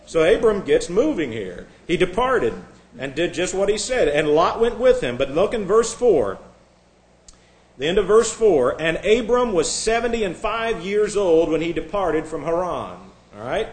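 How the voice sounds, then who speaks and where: A man from Indiana delivers a sermon in an echoey sanctuary, his voice sitting a little high for a man. He talks to the congregation like old friends, wandering into jokes and asides. When he reads scripture, he switches to a raised, formal voice. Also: big pitch swings, measured pace. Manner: conversational preaching with asides and self-deprecating jokes. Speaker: pastor. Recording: echoey room.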